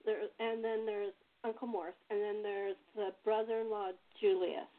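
A woman's voice: mid-range voice, level tone, moderate pace. A woman chatting casually as host and playwright, telling anecdotes and given to chuckling. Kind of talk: casual panel chat. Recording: narrow tinny phone-like call audio.

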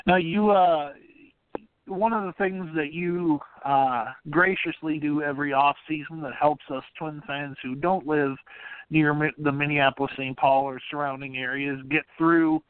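Phone quality sound, no echo, american guy hosting a sports podcast, asking questions, voice a bit on the high side. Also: fairly even intonation, measured pace, clean tone. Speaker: podcast host and interviewer. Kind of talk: conversational sports interview. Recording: narrow, tinny phone-like sound.

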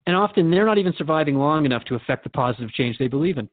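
A man's voice: fairly high pitch, lively intonation, very fast, phone-quality sound, natural voice. A man playing a curious, respectful radio host in a radio drama, interviewing in an inquisitive, conversational way. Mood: curious, earnest.

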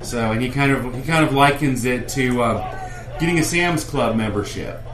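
A man's voice: mid-range voice, normal speed. A man chatting casually with friends on a wrestling fan show. He rambles, starts sentences over and explains things at length. His voice sits in the middle range and bounces around a roomy space. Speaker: podcast co-host. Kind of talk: casual chat among fans.

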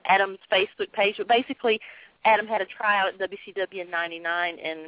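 A woman's voice: mid-range voice, steady tone, quick pace, dry recording. A woman co-hosts a casual talk show, teasing and laughing as she jumps in with short remarks and stories. The sound is thin and narrow, like a phone line. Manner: playful, laughs easily, chimes in briefly. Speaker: podcast co-host. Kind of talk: casual radio-show banter.

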